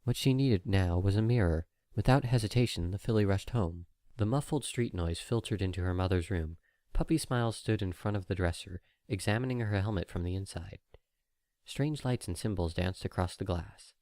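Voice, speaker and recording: Low-voiced man narrating, dry recording. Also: moderate pace, warm tone, dry recording. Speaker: audiobook narrator. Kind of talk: scripted fan-fiction story narration.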